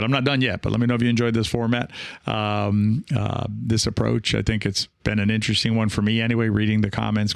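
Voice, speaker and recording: A man hosting a casual solo podcast, talking in a rambling, reassuring way with frequent pauses and check-ins. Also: lowish voice, creaky, dry recording, studio quality. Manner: hesitant, rambling, reassuring, often checking in with "right?". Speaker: podcast host and experienced pickleball player. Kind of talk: casual solo podcast talk, reading and answering listener comments.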